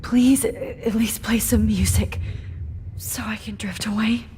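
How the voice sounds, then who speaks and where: A young woman acting in a video game as a frightened but defiant captive student, speaking in a halting, pleading way. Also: a little high, steady tone, mellow, natural voice. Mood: pleading, weak. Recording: long reverb, faint background noise.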